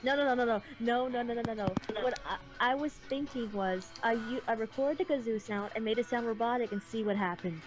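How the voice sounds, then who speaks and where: A young woman chatting on a podcast, quick and blunt, swearing casually. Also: fairly high pitch, over music. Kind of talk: casual chat.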